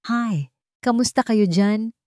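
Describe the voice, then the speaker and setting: Woman doing a bossy young wife in a story, brisk and commanding, dry recording. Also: a little high, darkish tone, no room sound, voice pushed up. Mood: cheerful. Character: scornful young wife and mother, outwardly cheerful, bossy.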